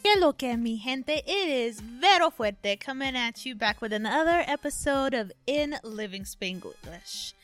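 A woman's voice: a little high, lively intonation, unhurried, hardly any pauses, a bit bright, soft background music. Bubbly young woman podcast host. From United States. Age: 20s. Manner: bubbly, joking, drops Spanish phrases. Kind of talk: casual podcast chat. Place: indoors at home.

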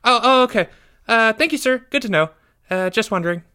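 A man voicing a nervous, guilty young schoolboy in a story, stammering and evasive in halting, hurried bursts. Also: very high voice, animated, bright, forceful tone, clean tone, voice pushed up, exaggerated voice. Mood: anxious, evasive.

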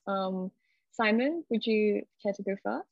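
A woman's voice: medium pitch, a little slow, vocal fry, no room sound, highs cut off. A woman co-hosting a podcast, politely introducing guests and moderating a roundtable. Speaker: podcast co-host.

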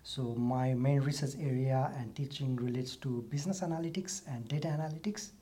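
A man from Nepal speaks in a husky, slightly breathy voice. He answers a podcast host's questions softly and hesitantly, often restarting his sentences. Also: medium pitch, fairly even intonation, fairly quick. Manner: soft-spoken, hesitant with restarts. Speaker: guest, university lecturer. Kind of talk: casual interview answers.

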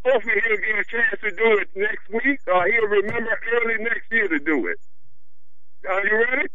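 A man's voice: high-pitched, a little fast, bright, forceful tone, no room sound. A man calling a radio show over the phone, talking with an enthusiastic, theatrical fan delivery. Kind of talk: excited fan chat with mock arena introduction. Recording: dull lo-fi phone line.

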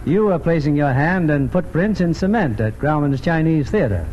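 A man's voice: on the high side, animated, moderate pace, a little mellow. A man with a polished, formal manner hosting a 1940s radio theatre in scripted announcer style. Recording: dull, noisy 1940s radio broadcast.

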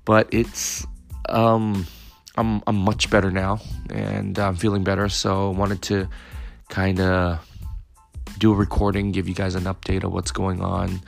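A man in his thirties hosting a casual solo podcast, his voice still raspy from a recent cold, rambling with lots of fillers and restarts. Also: sits low, monotone, a little slow, faint music behind. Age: 30s. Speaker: podcast host.

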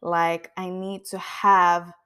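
A woman's voice: on the low side, flat delivery, takes it slowly, a bit bright, smooth. A woman of about thirty hosting a podcast, speaking in an earnest, introspective monologue. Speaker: podcast host. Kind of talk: reflective personal monologue.

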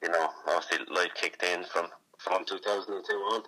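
An Irish man answers interview questions casually. His voice sits on the low side, with a very bright, tense edge. He circles back over phrases and peppers his talk with little check-ins. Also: fairly even intonation, brisk, poor audio. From born in Iraq, moved to Ireland as a child. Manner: repetitive, conversational, peppered with "you know". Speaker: interview guest, hurler.

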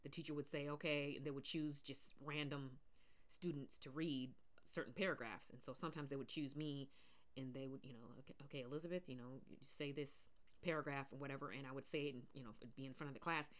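Woman podcast host, naturally deep low voice, rambling casual monologue with fillers. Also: steady tone, quick pace. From Pacific Northwest, US. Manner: rambling, many fillers and restarts.

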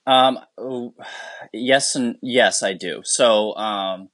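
A man's voice: medium pitch, extremely slow, a bit bright, no room sound. A man from the United States, a teacher, speaking as a guest in a casual interview, earnest and rambling with many restarts.

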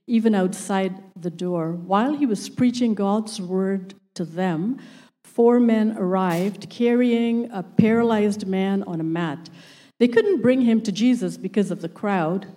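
Woman reading the bible passage, english not her first language, slow and careful, warm mellow voice. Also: medium pitch. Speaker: scripture reader. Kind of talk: reading scripture aloud.

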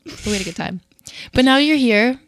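A woman in her twenties chatting casually as a host, telling stories in an informal, animated way and acting out quotes. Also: a little high, brisk, no room sound, poor audio. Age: 20s. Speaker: host of a conversational podcast. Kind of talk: casual chat, reminiscing with a friend.

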